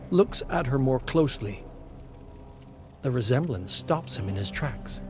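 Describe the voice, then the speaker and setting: Slow, solemn male story narrator over music. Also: medium pitch. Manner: measured, solemn. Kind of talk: dramatic scripted story narration.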